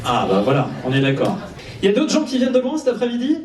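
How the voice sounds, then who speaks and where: A man's voice: very high voice, wildly swooping pitch, fast talker, a little mellow. A man hosting a live fan event, chatting casually with the audience in a playful, teasing, informal way. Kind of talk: casual live stage interview with audience banter. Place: cinema auditorium before an audience. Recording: echoey hall, distant mic, audience noise. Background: audience crowd.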